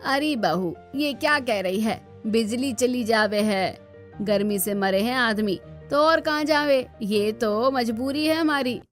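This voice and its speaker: A woman's voice: fairly high pitch, slow pace. Cartoon village matriarch played by a woman: old, earthy, bossy, rustic speech, music underneath. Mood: indignant. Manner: rustic dialect, scolding.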